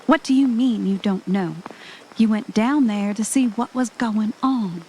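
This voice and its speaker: A woman's voice: mid-range voice, a little slow, dark tone, a little hiss or hum, close to an everyday voice, low-quality recording. A woman playing an anxious but adventurous podcaster on vacation in an audio drama. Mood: frightened, bewildered.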